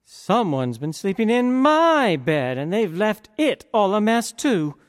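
Man putting on a mama bear, fussy, indignant, higher voice, echoing hall. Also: big pitch swings, slow pace, exaggerated voice. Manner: gasping, indignant.

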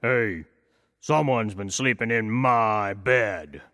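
A man voicing a slow, deliberate papa bear in a storybook tale. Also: fairly low pitch, a bit bright, big-room sound. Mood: puzzled.

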